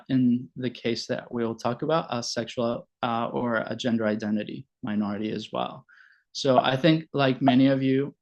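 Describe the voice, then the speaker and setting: Man in his forties, even halting delivery. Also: medium pitch. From Venezuela. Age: 40s. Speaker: general practitioner giving a presentation. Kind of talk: informal online presentation. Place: online video meeting. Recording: dull lo-fi online call audio.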